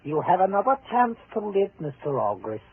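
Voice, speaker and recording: Calm, detached harbinger of death, fairly high voice with wide swings, vintage radio mystery. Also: male voice. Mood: cold, ominous. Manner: deliberate, cryptic, detached. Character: eerie, pale stranger foretelling death, calm and cold. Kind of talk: 1940s radio drama acting. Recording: tinny vintage radio recording, faint noise.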